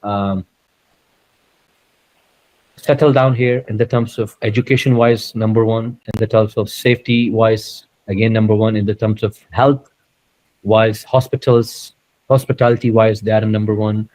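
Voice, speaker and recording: Low-voiced man, earnest and promotional. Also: little pitch movement, a little slow, a little mellow, poor audio. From Dubai, UAE. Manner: earnest, repetitive, promotional, with many restarts. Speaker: business founder, interview guest. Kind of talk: conversational interview.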